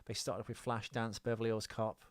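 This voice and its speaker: A man's voice: fairly low pitch, flat delivery, fairly quick, no echo. A man with an English accent chatting casually as a podcast co-host, in a rambling, blunt way. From England. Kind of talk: casual film trivia chat.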